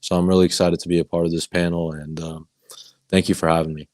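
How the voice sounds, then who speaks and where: Very deep, flat-voiced man on a call. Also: fast talker, no room sound, studio quality. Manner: hesitant, many fillers and restarts. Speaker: student debt organizer. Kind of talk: panel introduction. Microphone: video call.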